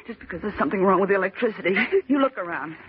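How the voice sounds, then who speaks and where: A frightened, bossy woman played by a radio actress fights to stay calm, snapping and repeating herself urgently. The sound is an old thriller broadcast, thin and phone-like, with clear noise. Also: mid-range voice, racing, lightly acted. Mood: panicked, snapping. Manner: urgent, insistent, repeating phrases.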